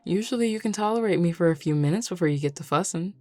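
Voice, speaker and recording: Woman acting as male werewolf, Southern drawl, low pitch, teasing, unhurried, flirty, audio roleplay, music behind. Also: lively intonation, darkish tone, no room sound, lightly acted.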